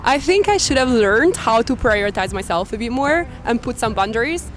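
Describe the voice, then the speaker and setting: Woman from italy, late twenties, slightly high voice, hesitant and reflective, light music behind. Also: animated, brisk. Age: 20s. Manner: thoughtful, hesitant, many "like" fillers. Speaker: interviewee. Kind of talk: casual street interview.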